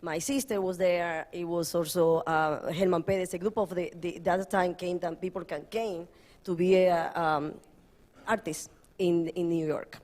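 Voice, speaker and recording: A woman's voice: lowish voice, steady tone, moderate pace, a little mellow. Woman searching for words on a panel. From Dominican Republic. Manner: broken phrasing, searching for words. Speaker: panelist, artist. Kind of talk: panel discussion answer.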